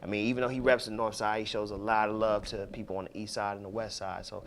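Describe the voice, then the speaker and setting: A man hosting a radio show in casual talk, loose and full of "you know" fillers as he gives shout-outs. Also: on the low side, little pitch movement, fast, a little hiss or hum. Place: radio studio.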